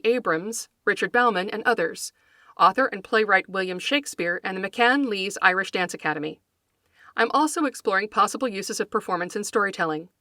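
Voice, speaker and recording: A woman podcast host reading scripted educational narration in a measured, articulate, scholarly manner, close to the microphone. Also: mid-range voice, sharp tone, no room sound. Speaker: podcast host, folklore scholar and fiction writer.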